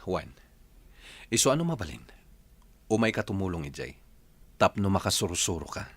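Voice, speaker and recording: A man's voice: low-pitched, sing-song, moderate pace, breathy edge, no echo, exaggerated voice. An actor with an Ilocano accent playing a firm, patient family man in a radio drama, earnest and admonishing. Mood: stern, urging.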